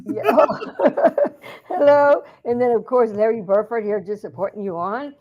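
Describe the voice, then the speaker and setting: Raspy-voiced chatty woman host. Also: on the high side, moderate pace, a little mellow, muffled recording. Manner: chatty, giggly, rambling with fillers. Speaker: talk show host. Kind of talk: casual interview chat.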